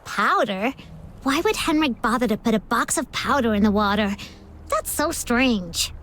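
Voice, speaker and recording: A woman voicing an excitable, theatrical young girl adventurer in a video game. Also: on the high side, measured pace, a little hiss or hum. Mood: puzzled. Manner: theatrical, exclaiming.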